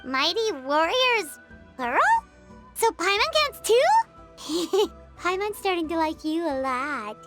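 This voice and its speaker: Tiny squeaky game sidekick, super high, excitable and eager, bouncing all over, music behind. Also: female voice, slow, exaggerated voice. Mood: delighted. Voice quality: squeaky, childlike. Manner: excitable, refers to self in third person. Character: tiny childlike female floating companion, eager and food-loving. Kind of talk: anime-style video game voice acting.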